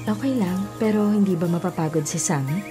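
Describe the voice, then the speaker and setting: A woman's voice: on the low side, brisk, close to an everyday voice. An actress playing a caring, weary girlfriend in her thirties, earnest and sympathetic, in a Filipino radio drama. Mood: reassuring. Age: 30s. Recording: loud music bed behind voice.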